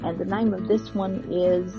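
A woman hosting a show, giving a casual introduction in a hesitant, halting way. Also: on the low side, flat delivery, low-quality recording. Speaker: radio show host. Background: plucked guitar music.

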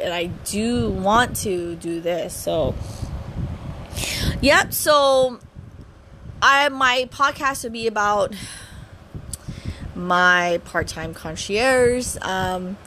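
A woman's voice: medium pitch, lively intonation, slow pace, pauses often, a bit bright, a little hiss or hum, rough recording. A woman in her thirties from Hawaii, chatting casually as she introduces her podcast at home, stammering and restarting often with giggles. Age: 30s. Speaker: podcast host.

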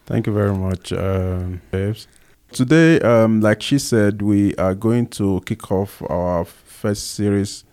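A man's voice: lowish voice, slow pace, a little mellow, no room sound. A man with a Nigerian accent co-hosting a casual podcast, speaking earnestly with frequent fillers. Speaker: podcast co-host.

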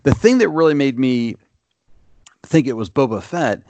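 A man's voice: mid-range voice, very animated, moderate pace, a little mellow, no room sound, lo-fi sound. Chatty man rambling on a podcast. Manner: rambling, filler-heavy, easy laughs. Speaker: podcast co-host. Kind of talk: casual chat.

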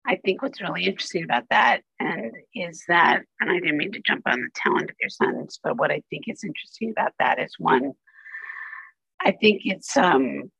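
Hoarse woman host, gravelly and dry. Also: fairly low pitch, animated, a bit bright, no echo, low-quality recording. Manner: earnest, candid, with quick asides. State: rough, hoarse voice. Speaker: podcast host. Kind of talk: conversational interview.

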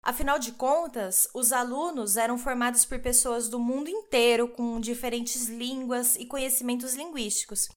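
A woman's voice: fairly high pitch, steady tone, normal speed, hardly any pauses. A woman with a Brazilian Portuguese accent hosting a solo podcast, talking straight to her listeners in a chatty, enthusiastic way and laughing often. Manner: chatty, addresses listeners directly. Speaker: podcast host.

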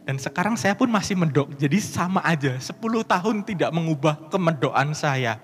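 A male preacher with a broad Banyumas Javanese accent and a somewhat high voice. He reads and preaches with emphatic, rising delivery. The sound carries a long church reverb. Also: lively intonation, moderate pace, faint music behind. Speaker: pastor. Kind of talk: sermon and scripture reading.

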